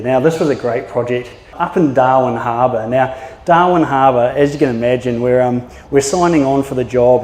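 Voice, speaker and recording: A man's voice: mid-range voice, darkish tone. A man in his thirties with a New Zealand accent, giving a chatty, anecdotal public talk full of fillers and restarts. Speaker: maritime archaeologist giving a talk. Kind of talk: public presentation. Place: yacht club function room. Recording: echoey hall with long reverb.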